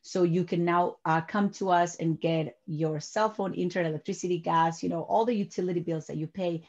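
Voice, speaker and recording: A woman's voice: fairly low pitch, fairly even intonation, brisk, darkish tone. A woman in her forties, from Peru, talking as a guest on a video call from her home office, repeating words as she builds her sentences. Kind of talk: interview over video call. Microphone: webcam on video call. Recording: dull lo-fi video call audio.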